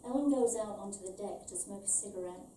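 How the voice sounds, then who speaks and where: Very mellow middle-aged woman reading in a room. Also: medium pitch, brisk. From born in Ireland, raised in London. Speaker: author reading her own short story. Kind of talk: scripted literary reading aloud. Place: small event venue with stage, indoors. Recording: roomy, distant, faint background noise.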